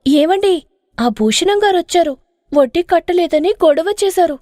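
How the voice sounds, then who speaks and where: A woman puts on a naive village wife for a storybook tale. The voice is very high, lifted above her narration, with lively swings. She sounds anxious, pleading and emotional. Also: normal speed, no echo, studio quality. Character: naive, anxious young village wife, eager then regretful. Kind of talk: acted moral-story dialogue.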